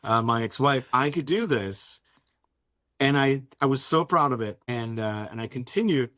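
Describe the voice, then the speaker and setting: A man from Denmark whose first language is Danish talks in a casual, conversational way as an interviewed author. His voice sits at an average pitch, and the sound is very dry, with little room on it. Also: animated, normal speed, pushed and bright, no echo.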